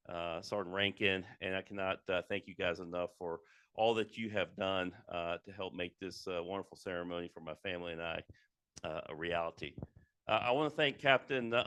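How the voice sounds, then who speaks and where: A middle-aged man, a retiring military officer, giving an informal ceremony speech in a loud voice, with many fillers and restarts. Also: on the low side, clear, resonant tone, dry recording. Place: indoor ceremony venue.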